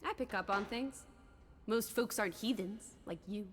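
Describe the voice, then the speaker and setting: A woman's voice: medium pitch, normal speed, natural voice. An actress playing a cheeky, teasing young 1920s girl companion in a video game, playful and sassy with period slang. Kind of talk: lively video game dialogue. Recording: long echoing reverb, background noise.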